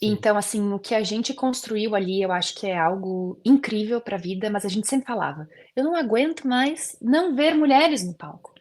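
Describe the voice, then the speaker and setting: A woman's voice: mid-range voice, normal speed, few pauses, darkish tone. A woman, a guest dentist talking earnestly in an interview, often using fillers. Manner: earnest, many fillers like "assim". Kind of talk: casual interview chat.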